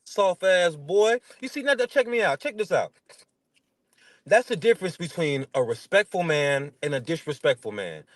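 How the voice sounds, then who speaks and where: A man in his thirties with a notably high voice, recorded very dry and close. He has a stutter and talks in blunt, emphatic slang, telling stories in a casual interview. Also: expressive, moderate pace, no echo. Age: 30s. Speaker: interview guest. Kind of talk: casual chat, animated storytelling.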